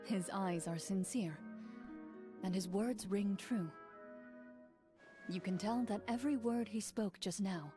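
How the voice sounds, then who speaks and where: A woman playing a game master in a video game, narrating a fantasy quest in a measured, dramatic way. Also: mid-range voice, music in the background, close to an everyday voice. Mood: solemn, dramatic. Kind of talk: scripted second-person narration, video game.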